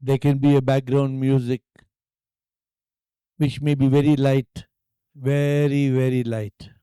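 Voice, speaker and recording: Very mellow, slow Indian man guiding meditation. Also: mid-range voice, clear, resonant tone, no room sound. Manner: calm, hushed, deliberate phrasing with long pauses. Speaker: meditation teacher leading a retreat session. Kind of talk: guided meditation.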